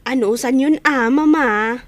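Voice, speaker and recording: A woman's voice: high-pitched, unhurried, dry recording. A woman playing an inquisitive young child in an Ilocano radio drama. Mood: puzzled. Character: inquisitive young child asking about parents.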